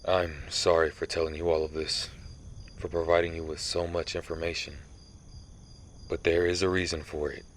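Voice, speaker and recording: A man playing a centuries-old vampire hunter in an ASMR audio roleplay, somber, guilt-ridden and resolute, confessing haltingly. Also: low-pitched, little pitch movement, slight background noise, close to an everyday voice. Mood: somber, guilty.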